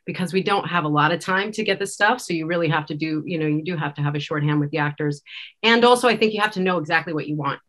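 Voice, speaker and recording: A woman's voice: lowish voice, a bit bright. A woman speaking conversationally and emphatically as an interviewed director on a video call. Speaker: podcast director and actor interviewed. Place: indoor room, video call. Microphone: video call microphone.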